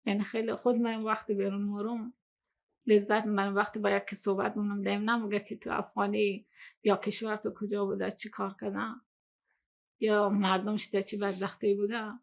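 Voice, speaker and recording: A woman's voice: medium pitch, flat delivery, very fast, vocal fry, lo-fi sound. A woman from Afghanistan speaking in a documentary interview.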